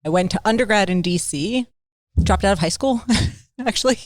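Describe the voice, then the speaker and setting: A woman from Baltimore talking casually as a podcast guest, full of "like" and quick laughs. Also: mid-range voice, animated, no echo. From Baltimore, Maryland. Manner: chatty, lots of "like", laughs while telling stories. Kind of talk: casual interview talk.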